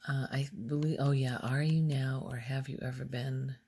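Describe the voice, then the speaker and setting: A woman speaking softly in an ASMR ramble, breathy and near a whisper at times, musing hesitantly as she thinks aloud. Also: extremely low pitch, steady tone, normal speed. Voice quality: soft, breathy, often slipping toward a whisper. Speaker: ASMR video creator. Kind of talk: soft-spoken ASMR ramble.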